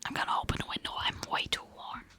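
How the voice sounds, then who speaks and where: A woman's voice: fast, pushed and bright. A woman streaming in an ASMR whisper, chatting playfully with viewers while still tired from recovering from an illness.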